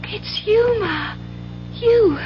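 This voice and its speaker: A woman's voice: very high voice, lively intonation, drawn-out words, full character voice. An actress playing a frightened, dreamy young woman in an old-time radio drama, breathless and distraught. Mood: shaken, tearful. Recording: muffled, faintly noisy old radio recording.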